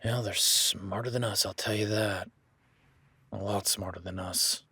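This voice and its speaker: A man's voice: on the low side, steady tone, unhurried, sharp tone, breathy edge, no room sound, heavily acted. A man voicing an easygoing male cowboy ranch hand in an audio roleplay, talking in a laid-back, folksy way with casual swearing.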